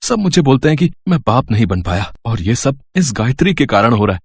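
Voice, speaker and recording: A man's voice: mid-range voice, very animated, brisk, breathy edge, no room sound. A man voicing a resentful, callous husband who complains with contempt in a family morality story. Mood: bitter complaint.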